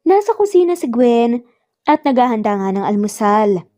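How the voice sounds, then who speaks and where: A woman's voice: on the high side, sing-song, normal speed, mellow. A woman with a Filipino accent narrating a scripted dramatic story.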